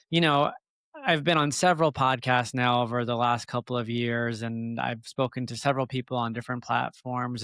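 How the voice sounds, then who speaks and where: A man in middle age with a clean, resonant voice, captured dry and close. He speaks as an interview guest, reflecting earnestly on his own life. His delivery is measured, with frequent pauses as he gathers his thoughts. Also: medium pitch, a bit bright, clear, resonant tone, no echo. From born in Egypt, emigrated as a child. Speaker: podcast guest, author. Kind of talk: reflective personal storytelling in an interview.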